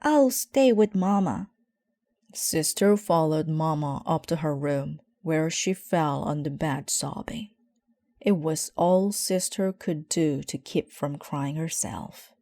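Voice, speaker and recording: Low, slow, mellow woman storyteller. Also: fairly even intonation, no room sound. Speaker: storybook reader. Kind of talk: children's story read aloud.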